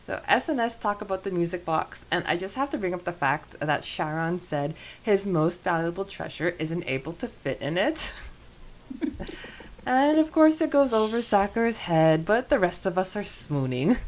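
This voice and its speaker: A woman's voice: on the low side, sing-song, normal speed, rough recording. A woman co-hosting a podcast in casual fan discussion, with a gushing, giggly manner. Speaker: podcast co-host.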